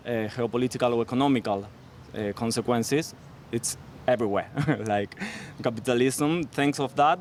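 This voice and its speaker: A man's voice: medium pitch, slight background noise. A man guiding a walking tour outdoors, explaining in an earnest way. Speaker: tour guide. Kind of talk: guided walking tour talk. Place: outdoors on a city street.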